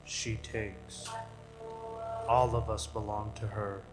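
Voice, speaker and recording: A grave, dry-voiced patriarch back from the dead played by a man speaks slowly and matter-of-factly in a radio drama. His measured, solemn words sit under loud music. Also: lowish voice, little pitch movement. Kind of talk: stage radio drama acting.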